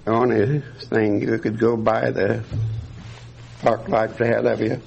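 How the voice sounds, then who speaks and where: An older veteran man recalling his service slowly, in halting storytelling at a community gathering. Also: on the low side, little pitch movement, creaky, darkish tone, lo-fi sound, slight background noise. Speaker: veteran honoree. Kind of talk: recalling memories.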